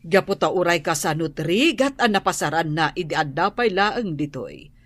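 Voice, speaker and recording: A woman with an Ilocano accent playing a kindly, unhurried older aunt in a radio drama, approving and expressive. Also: lowish voice, no room sound.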